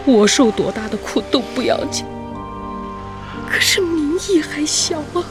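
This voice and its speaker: An actress playing an anxious widowed young mother in a radio drama, pleading in a plaintive, halting, earnest way. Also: high voice, expressive. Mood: distressed pleading. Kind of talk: emotional radio drama acting. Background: music.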